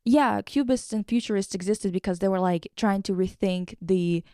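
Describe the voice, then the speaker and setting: A woman's voice: medium pitch, moderate pace, dark tone, no echo, studio quality. A woman hosting a podcast in casual chat, slangy, sweary and irreverent as she recaps and reads things aloud. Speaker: podcast host.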